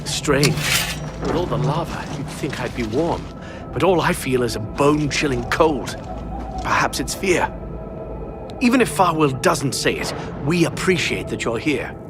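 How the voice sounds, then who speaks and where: An actor playing a seasoned, loyal and weary knight in a fantasy game, speaking in a measured, wry way. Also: male voice, mid-range voice, animated, natural voice. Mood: weary, sober. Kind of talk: fantasy video game acting. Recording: music behind the voice.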